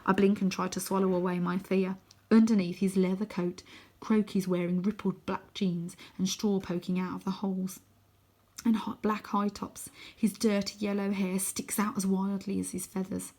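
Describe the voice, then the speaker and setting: A woman narrating a children's adventure story expressively, with a dramatic, sometimes hushed delivery. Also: medium pitch, level tone, moderate pace. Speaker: storybook reader.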